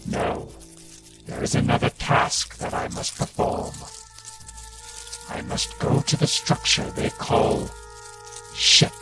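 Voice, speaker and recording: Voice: medium pitch, gravelly, exaggerated voice. An actor playing a solemn alien soldier in a sci-fi audio drama, with stilted, formal speech. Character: alien creatures, solemn hive soldiers and their commanding leader.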